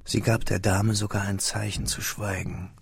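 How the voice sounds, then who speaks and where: A man acting as a measured, reflective narrator recalling his boyhood in a literary radio drama, with a creaky voice. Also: fairly low pitch, level tone, no echo, exaggerated voice. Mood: quietly absorbed.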